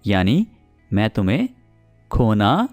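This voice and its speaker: A Pakistani man gives a spoken English lesson, taking his time over each example sentence. His pitch rises and falls a lot as he checks his students are following, and faint music plays behind him. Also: huge pitch swings, darkish tone. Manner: explains patiently, repeats example sentences slowly and checks in with "theek hai?". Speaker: English teacher.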